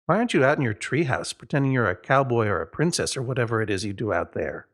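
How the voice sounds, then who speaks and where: A man's voice: mid-range voice, animated, fast, fairly bright tone. A man voicing a scornful, smirking know-it-all girl in a storybook, dismissive and smug.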